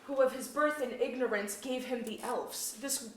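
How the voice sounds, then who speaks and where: A woman's voice: a little high, normal speed, lightly acted. A woman playing a proud, hasty young fairy sprite in love, in a staged reading of an archaic verse play, with declamatory diction. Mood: proud, urgent. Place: museum auditorium staged reading. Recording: echoey auditorium from far off, noisy.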